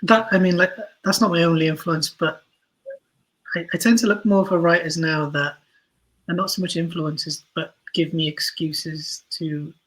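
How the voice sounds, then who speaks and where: A man's voice: fairly high pitch, steady tone, moderate pace, fairly bright tone, rough recording. A man answering casually as a podcast guest, thinking aloud in a hesitant, thoughtful way. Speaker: podcast guest, audio drama writer.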